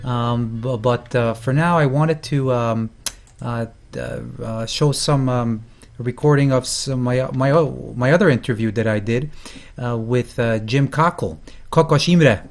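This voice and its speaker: A man hosting a folk music program, introducing a guest in a loose, halting way with many fillers and false starts. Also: medium pitch. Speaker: host. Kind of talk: casual spoken introduction.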